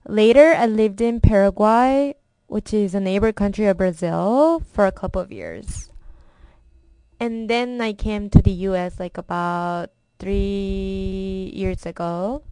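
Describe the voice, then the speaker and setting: A young college student from Korea, speaking English with a strong Korean accent. Her voice is mellow and smooth, and it sounds close and dry. She reads carefully and sometimes restarts a word. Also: mid-range voice, takes it slowly, no room sound, low-quality recording. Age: young adult. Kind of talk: read personal introduction.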